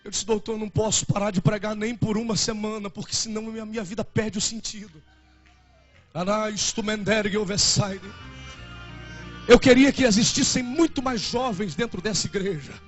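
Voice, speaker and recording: A man's voice: high-pitched, steady tone, moderate pace, lo-fi sound, faint music behind. A young man in his twenties with a Brazilian Portuguese accent, preaching a fervent and emotional sermon as a pastor. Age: 20s.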